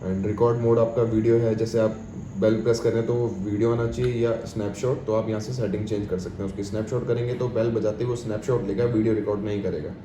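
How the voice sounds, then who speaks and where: Indian guy doing a casual how-to demo, lowish voice, roomy echo, mixes in english words, repeats himself a bit. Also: fairly even intonation, fast, hardly any pauses, warm tone. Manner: explanatory, repetitive, mixes English terms into speech. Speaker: product demonstrator. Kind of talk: informal step-by-step tutorial. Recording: echoey room with clear background noise.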